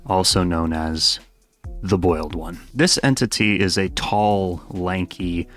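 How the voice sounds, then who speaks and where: A man's voice: sits low, fairly even intonation, slow pace, fairly bright tone. A man narrating a scripted video essay as host, with a wry, conversational manner and casual asides. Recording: music under the voice. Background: background music.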